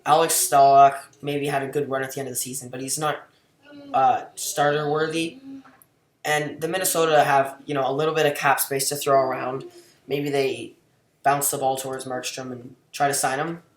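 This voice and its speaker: A man's voice: mid-range voice, level tone, moderate pace. A man co-hosting a sports podcast in casual, unscripted chat. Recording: echoey room with faint noise.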